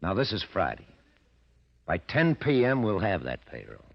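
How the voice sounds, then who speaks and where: An actor playing a cagey, self-assured gang boss in the style of 1940s radio crime drama. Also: male voice, sits low, wildly swooping pitch, normal speed, creaky, husky, exaggerated voice. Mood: confident, scheming. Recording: dull, lo-fi old radio recording.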